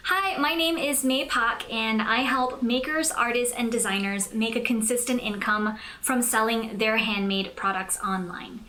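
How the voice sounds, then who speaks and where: Higher-pitched young woman giving a tutorial. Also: unhurried, hardly any pauses, bright, forceful tone. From Malaysia. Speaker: host of a business tutorial channel. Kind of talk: scripted tutorial to camera, conversational.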